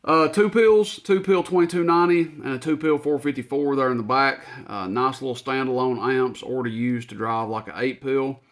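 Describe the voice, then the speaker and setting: A man's voice: medium pitch, steady tone, normal speed, few pauses. A man talking casually through shop inventory as its owner, in a plainspoken, informal, folksy way. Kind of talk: casual product rundown.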